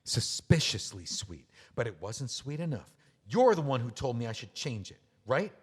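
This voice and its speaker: A man's voice: medium pitch, very animated, moderate pace, slightly rough, long reverb tail. A man playing a bitter drunk widower. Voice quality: sarcastic, bitter, slurring. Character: bitter drunk middle-aged widower author. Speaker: memoir author. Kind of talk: audio drama acting.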